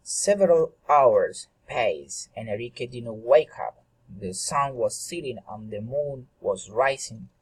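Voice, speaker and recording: A man narrating a fairy tale dramatically, with halting, deliberate phrasing and occasional stumbles. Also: medium pitch, lively intonation, husky, low-quality recording.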